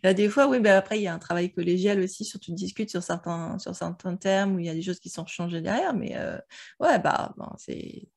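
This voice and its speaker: A woman's voice: lowish voice, a little fast, creaky. A woman in casual, explanatory conversation, talking as a translator about her work.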